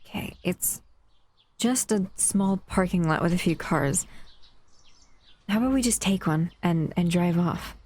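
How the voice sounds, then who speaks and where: A woman playing a gentle, protective shadow monster in an intimate audio roleplay, speaking softly and affectionately to the listener. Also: lowish voice, measured pace, long pauses, slight background noise, lightly acted. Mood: calm, relieved.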